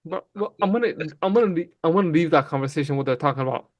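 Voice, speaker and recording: A man raised in Canada whose first language is Somali, arguing emphatically and quickly in a heated livestream debate, often calling the other person bro. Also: fairly high pitch, expressive, no room sound. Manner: emphatic, fast, calls people bro. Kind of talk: heated casual argument.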